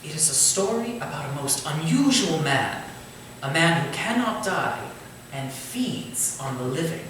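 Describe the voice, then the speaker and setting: Man as genial Victorian author, formal stage delivery, animated intonation, far from the mic, faint noise. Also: on the high side, measured pace, very echoey. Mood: musing. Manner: formal, eloquent, encouraging, theatrical. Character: genial, courtly Victorian gentleman writer and theatre manager. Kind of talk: staged reading of a Victorian thriller. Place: indoor staged reading, distant microphone.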